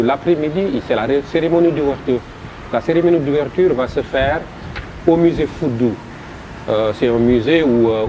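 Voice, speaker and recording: Senegalese man explaining, halting, noisy. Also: mid-range voice, lo-fi sound. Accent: Senegalese French. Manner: halting, repeats words while explaining. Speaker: festival promoter. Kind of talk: interview answers. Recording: noisy background.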